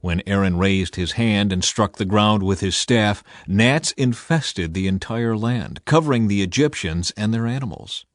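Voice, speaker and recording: A man's voice: lowish voice, measured pace, few pauses, dry recording, clean studio sound. A man reading scripture aloud as a scripted audiobook narration.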